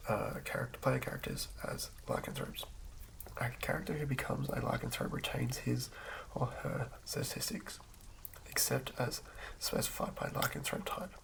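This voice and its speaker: A man reading in a hushed, breathy ASMR whisper with a halting, measured delivery. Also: mid-range voice, poor audio. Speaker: host of an ASMR channel. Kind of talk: ASMR reading of game rulebook.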